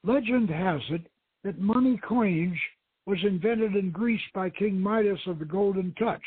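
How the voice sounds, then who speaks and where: A man's voice: sits high, unhurried, husky, voice pushed up. A man voicing a polite, eager young female museum guide with a formal, explanatory manner. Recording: tinny band-limited radio stream, roomy echo.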